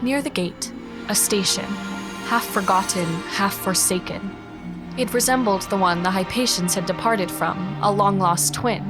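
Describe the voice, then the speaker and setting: A woman's voice: mid-range voice, measured pace. A woman narrating a sci-fi audio drama in a grave, dramatic scripted style, with music behind her. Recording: loud music over the whole voice. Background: loud cinematic music.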